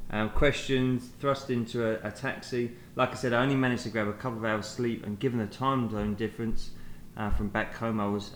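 Hesitant British man in an echoey room. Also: medium pitch. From England. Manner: hesitant, many fillers and restarts. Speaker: guest, sales manager. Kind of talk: conversational podcast interview. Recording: echoey room, faint noise.

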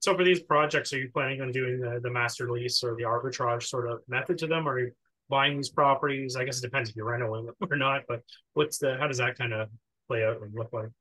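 Bright-voiced man hosting a casual interview. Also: medium pitch, fairly even intonation, fast, poor audio. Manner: informal, curious, loosely phrased questions. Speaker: podcast host.

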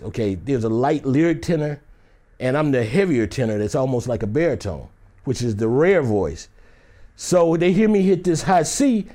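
A man's voice: on the high side, a little mellow. A man being interviewed, telling a story in a loose, conversational way and acting out the other people in it. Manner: loose conversational delivery with many "you know" fillers. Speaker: interview guest. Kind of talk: animated anecdotal storytelling.

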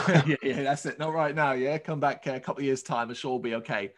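A man's voice: medium pitch, fast talker. A man hosting a music podcast, moving between introduction and interview chat. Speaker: podcast host.

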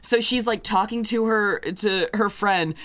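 A young woman co-hosting a podcast in a loose, casual chat. She rambles in a bubbly way and laughs often. Her voice sits at an ordinary middle pitch. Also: unhurried, a bit bright. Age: young adult. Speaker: podcast co-host.

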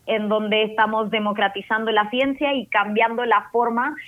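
A woman from northern Chile with a Chilean accent, a startup founder telling her story in a podcast interview, animated and slipping in English terms. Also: on the high side, normal speed, narrow, tinny audio.

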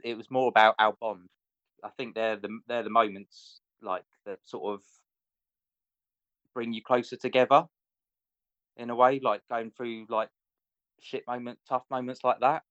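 A man's voice: mid-range voice, level tone, a little slow, long pauses, a bit bright, dry recording, lo-fi sound. A man telling a personal story casually and a little hesitantly, as if to a friend. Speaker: interviewee, friend of the interviewer. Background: guitar music.

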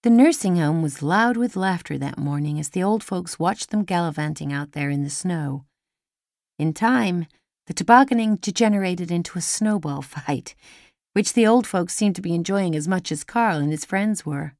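A woman's voice: on the low side, animated, normal speed, a little mellow, no room sound, studio quality. A woman reading a first-person children's story as an audiobook narrator.

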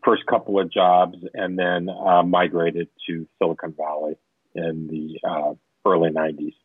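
Husky low-voiced man on a phone line. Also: little pitch movement, unhurried, dry recording. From Southern California. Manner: rambling, many fillers. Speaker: guest, tech company executive. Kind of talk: interview answers. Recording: tinny phone-line sound.

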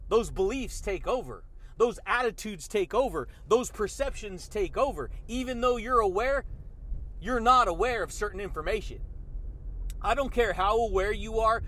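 Hyped-up high-voiced motivational man. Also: fairly bright tone, slight background noise. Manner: hyped, slangy, keeps saying dude and baby. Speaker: motivational podcast host. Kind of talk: energetic motivational monologue.

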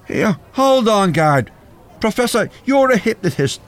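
A gullible Irishman in a radio play. His very high voice leaps up and down as he pleads desperately. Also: very animated, unhurried. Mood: pleading, desperate. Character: desperate, gullible reluctant male fiancé. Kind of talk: audio drama acting.

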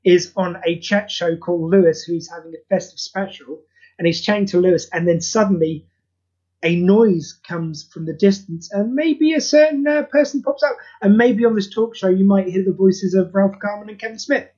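A man's voice: high voice, moderate pace, darkish tone. A man being interviewed as a writer, chatting casually in a rambling way over an internet call. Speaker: interview guest, audio drama writer. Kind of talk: casual chat. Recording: slightly dull internet call.

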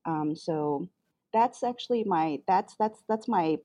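A woman in her fifties from Chicago, answering interview questions in a halting way with many fillers and restarts. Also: lowish voice, animated, mellow, no echo, dull audio. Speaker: guest artist.

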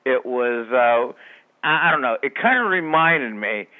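A man reviewing a movie in casual radio chat, blunt and joking, speaking in halting restarts. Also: mid-range voice, animated, narrow, tinny audio. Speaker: movie critic.

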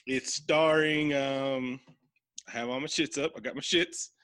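A man's voice: medium pitch, fairly even intonation, a little slow, a bit bright, dry recording. A man co-hosting a podcast, chatting casually about a movie in a loose, joking way and swearing freely. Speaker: podcast co-host. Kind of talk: casual movie review chat.

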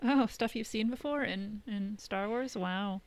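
Clear-voiced woman, blunt and dry-sounding. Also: mid-range voice, a little slow, dry recording, poor audio. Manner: blunt, informal, profane. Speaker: podcast co-host. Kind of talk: casual chat reviewing a TV episode.

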